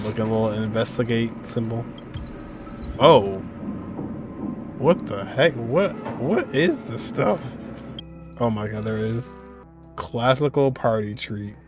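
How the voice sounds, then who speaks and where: Young man reacting to a game, animated. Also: mid-range voice. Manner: animated, exclaiming and laughing at the screen. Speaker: reaction video host. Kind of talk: casual live reaction commentary. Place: indoor room at a desk.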